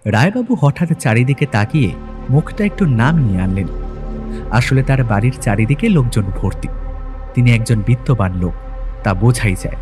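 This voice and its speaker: A man's voice: mid-range voice, animated, moderate pace, darkish tone, soft background music. A man narrating a scripted detective story in a dramatic storytelling style.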